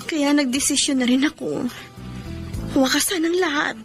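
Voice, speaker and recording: Heartfelt, high-voiced wounded devoted woman. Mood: tearful, sincere. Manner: heartfelt, melodramatic, halting. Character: devoted, wounded woman leaving her unfaithful live-in partner. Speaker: radio drama actress and narrator. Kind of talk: Filipino radio drama acting. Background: background music.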